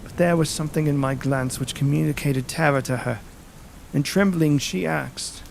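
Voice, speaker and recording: Haunted young husband played by a man for gothic audiobook drama: high-pitched, theatrical, emotive, halting, over music and rain. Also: vocal fry, a little hiss or hum, low-quality recording. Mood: anxious, hushed. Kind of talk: dramatic first-person audiobook narration.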